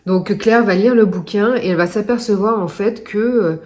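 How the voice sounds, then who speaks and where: A woman's voice: medium pitch, normal speed, darkish tone. Book vlogger lady, stuffed-up nose, chatty, lots of ums. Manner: chatty, many hesitations. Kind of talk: casual reading vlog chat.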